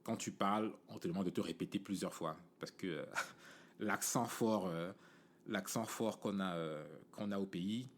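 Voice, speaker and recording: A man's voice: deep voice, measured pace. A man in his forties from Cameroon with a Cameroonian French accent, hosting a podcast in a casual, rambling monologue full of fillers and "tu vois" asides. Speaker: podcast host.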